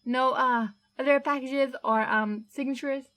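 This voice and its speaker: A woman's voice: high voice, steady tone, unhurried, fairly bright tone, voice pushed up. A woman voicing a nervous man who stammers and hesitates, shaken and trying to stay composed. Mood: shaky. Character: nervous young male archivist, shaken, stammering.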